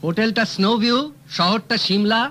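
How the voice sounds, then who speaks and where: A composed private detective, voiced by a man speaks in a crisp, slightly raised voice with dry wit. The old radio recording carries clear hiss underneath. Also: sits high, brisk, close to an everyday voice. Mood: calm. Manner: crisp, confident, dryly witty. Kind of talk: vintage radio drama acting.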